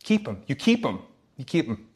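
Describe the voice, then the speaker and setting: A man playing a shy, nervous teenage boy in a comic script read, husky and stammering. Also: mid-range voice, huge pitch swings, a little mellow. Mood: nervous, flustered. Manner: stammering, halting, nervous laughs. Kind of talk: comic screenplay table read.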